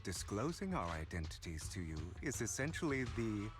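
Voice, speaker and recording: A man playing a suave, theatrical and courteous phantom thief in a video game, speaking in smooth, elaborately polite lines over music. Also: lowish voice, big pitch swings, moderate pace. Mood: smooth, persuasive. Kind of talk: scripted video game voice acting. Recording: music under the voice.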